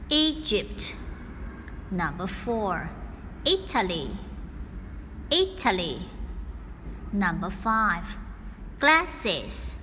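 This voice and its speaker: A woman reading out a scripted listening test in a deliberate, carefully enunciated dictation style. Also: mid-range voice, sharp tone. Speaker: English listening test reader. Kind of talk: scripted exam narration, slow and repeated. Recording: long hall reverb, faint background noise.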